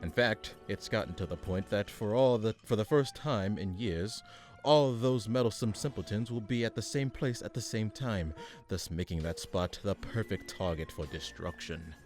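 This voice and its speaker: Male actor as supervillain boss, lowish pitch, pompous, businesslike, dryly fed up, comedic radio play, music behind. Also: normal speed, a little mellow, natural voice. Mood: pompous scheming. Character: weary, pompous male supervillain mastermind chairing meetings.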